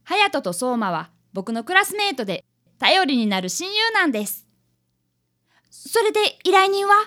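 A woman's voice: very high-pitched, sing-song, normal speed, heavily acted. A woman playing a polite, eager fifth-grade boy apprentice detective in a radio drama. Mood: earnest, cheerful. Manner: polite, earnest, bright delivery. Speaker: actor and narrator in radio drama. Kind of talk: community radio drama acting.

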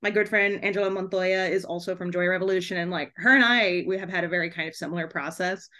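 A woman's voice: lowish voice, fairly even intonation, fast talker, bright, forceful tone. Chatty, giggly woman in casual conversation. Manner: chatty, giggly, effusive, frequent "like" and "kind of". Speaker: guest author and podcast host. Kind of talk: casual podcast interview chat.

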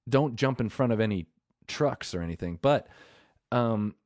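A man's voice: medium pitch, lively intonation, moderate pace, a little mellow, dry recording, studio quality. A man hosting a podcast alone in a room, rambling and enthusiastic, often correcting himself mid-sentence. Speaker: podcast host. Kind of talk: casual solo podcast talk.

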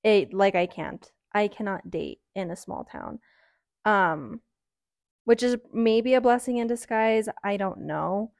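A young woman in her early twenties chats on her own in a loose, rambling way, often pausing on "um" and "like." Her voice sits at an ordinary middle pitch, and the recording is dry and close with hardly any room sound. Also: vocal fry, no echo. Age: early 20s. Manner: rambling, frank, many "um"s and "like"s. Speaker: podcaster. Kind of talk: casual solo chat.

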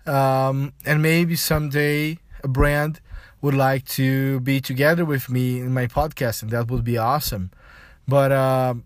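A man with a Brazilian accent and Portuguese as his first language talks as a solo podcast host. His medium-pitched voice moves in a halting, explanatory way, padded with little filler phrases. The sound is dry, with hardly any room on it. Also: steady tone, dry recording. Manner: halting, explanatory, frequent "you know". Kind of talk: casual solo podcast monologue.

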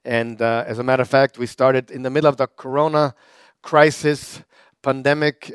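Male pastor, crisp dry voice. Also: medium pitch, no room sound. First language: German. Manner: conversational preaching with repetitions and fillers. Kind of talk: sermon.

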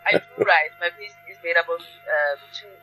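A South African woman with a very bright, forward voice talks as a guest in a conversational interview. She is emphatic and often repeats phrases to press a point. Faint music sits behind her. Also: fairly low pitch, brisk, rough recording. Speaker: guest, playwright and academic.

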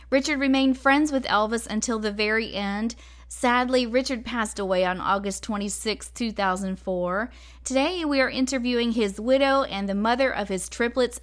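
A woman's voice: a little high, fairly bright tone. A woman hosting a radio show, delivering announcements and a scripted introduction for an interview.

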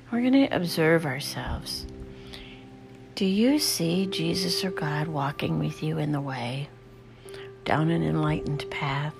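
A woman with a low, soft voice leads a guided meditation, often dropping to a whisper. She speaks slowly and soothingly, with music playing clearly underneath. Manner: slow, soothing, unhurried prompts.

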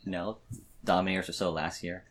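A man's voice: low voice, little pitch movement, normal speed. A man co-hosting a podcast in a casual, chatty style. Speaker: podcast co-host. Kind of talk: casual chat.

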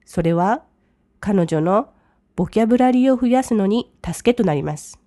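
A woman who teaches English, reading each English line slowly and then translating it briskly. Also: medium pitch, expressive, warm tone, no room sound, studio quality. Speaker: language teacher. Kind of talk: bilingual lesson commentary.

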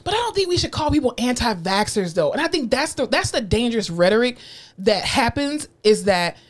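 Fast, lively woman cohost. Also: fairly high pitch. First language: English. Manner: blunt, animated, swears freely, jokes. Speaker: podcast co-host. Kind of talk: casual chat.

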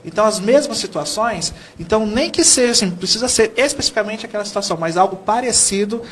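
A man's voice: sits high, quick pace, a bit bright, slight background noise. A Brazilian man from São Paulo speaking as a guest educator in a TV interview, explaining earnestly and often posing questions he then answers. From São Paulo state, Brazil.

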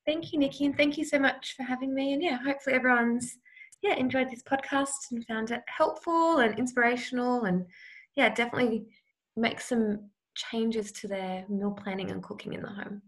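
A woman's voice: a little high, moderate pace, low-quality recording. A woman guest on a podcast, talking casually and in a friendly way, with ums and easy repeats. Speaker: podcast guest, meal-planning blogger. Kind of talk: casual interview chat.

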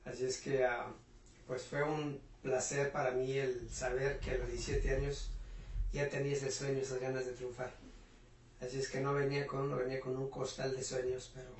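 Motivational Mexican guest, steady flat tone, hesitant; recorded roomy and from afar. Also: male voice, mid-range voice, moderate pace, a little hiss or hum. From Puebla, Mexico. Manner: earnest, hesitant with many fillers. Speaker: interview guest, business owner. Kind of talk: reflective motivational talk. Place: home. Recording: roomy echo, voice from a distance.

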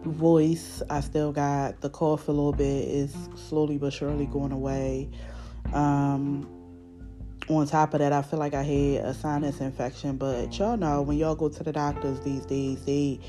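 A woman with a Baltimore accent hosting her podcast, talking freely with a raspy voice from a recent illness, rambling with fillers and restarts. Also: deep voice, dark tone. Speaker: podcast host. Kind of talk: unscripted casual monologue.

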